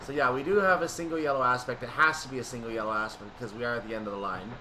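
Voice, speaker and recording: A man's voice: mid-range voice, steady tone, rapid-fire, sharp tone, a little hiss or hum, low-quality recording. A man giving explanatory, methodical gameplay commentary, thinking aloud as he plays a train simulator. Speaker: video game streamer playing a train simulator.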